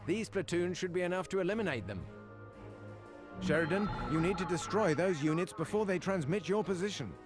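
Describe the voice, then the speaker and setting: A man playing a brisk, cautious military adviser giving mission briefings in a video game. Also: sits high, close to an everyday voice. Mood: urgent, watchful. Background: loud music.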